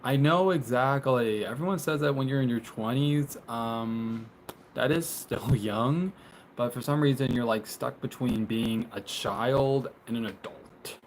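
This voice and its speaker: A man's voice: medium pitch, a little slow, a little hiss or hum, low-quality recording. Rambling young man streaming from a closet. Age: 20s. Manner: rambling, many fillers and restarts, laughs often. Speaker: live streamer. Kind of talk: casual live stream chat, reading viewer comments. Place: small bedroom closet, indoors. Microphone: phone on tripod.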